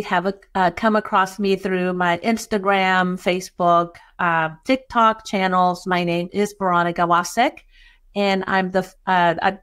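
A middle-aged woman from Chile speaks with a Chilean Spanish accent carrying a trace of Texan. She hosts an online lesson from a home office through a webcam, greeting people warmly and padding her speech with fillers. Her voice sits at an ordinary middle pitch. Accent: Chilean Spanish with some Texan. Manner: warm, welcoming, with frequent fillers. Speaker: webinar host and bookkeeping educator. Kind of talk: webinar presentation.

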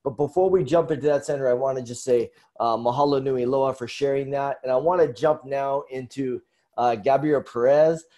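A man moderating a virtual panel discussion in a friendly, loose way with fillers. His voice is mellow and mid-pitched. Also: moderate pace.

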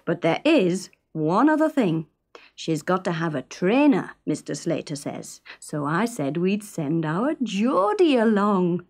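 Woman putting on a hearty Northern English husband, animated. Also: on the low side, measured pace, heavily acted. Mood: proud, persuasive. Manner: hearty, cheerful. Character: cheerful, boastful working-class husband and father.

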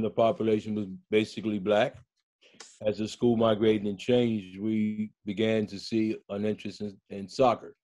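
An older man and school coach speaking on a video call from his home, telling stories in a slow, reflective way during a panel discussion. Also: lowish voice, warm tone. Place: home room, indoors.

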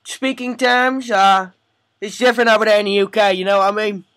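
A man's voice: high-pitched, fairly even intonation, fairly quick, a bit bright, no echo. A man voicing a pushy, hesitant British immigrant on a prank phone call, with a put-on accent and wheedling, rambling manner.

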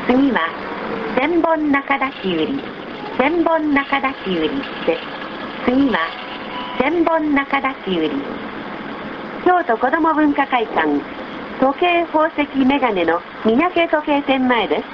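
A woman reading formal, polite scripted bus stop announcements, measured, heard through a vehicle's speaker on an old recorder. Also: big pitch swings, muffled recording. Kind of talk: scripted public transit announcement. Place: inside a city bus, played over its speaker. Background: loud bus engine and vehicle rumble.

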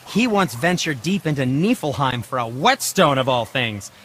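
Actor as a fussy, animated dwarf merchant. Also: male voice, mid-range voice, normal speed, slight background noise, lightly acted. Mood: chatty, proud. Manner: theatrical, precise, nervous, polite. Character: fussy, fastidious, chatty male dwarf merchant. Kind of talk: video game voice acting.

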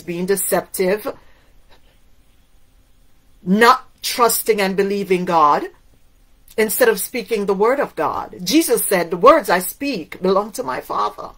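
A woman's voice: mid-range voice, fairly bright tone, low-quality recording. A middle-aged woman from Cuba whose first language is Spanish, preaching a sermon to camera in an emphatic way, asking many rhetorical questions. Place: indoors in front of a waterfall backdrop.

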